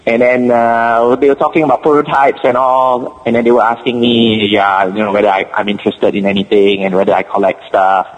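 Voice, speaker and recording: A man from Singapore with a Singaporean English accent, a guest on a podcast, chatting casually and laughing often as he tells a story in halting bits. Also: medium pitch, little pitch movement, in a large hall, poor audio.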